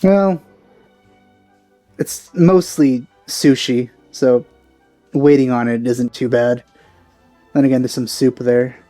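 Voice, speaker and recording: A woman's voice: very deep voice, steady tone, takes it slowly, long pauses, warm tone, soft background music. A woman giving casual let's play commentary in easygoing, musing asides.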